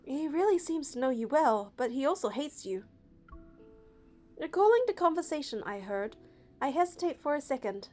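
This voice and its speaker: A woman narrating a game playthrough, reading the story text aloud in a steady, even way. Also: high-pitched, moderate pace, faint music behind.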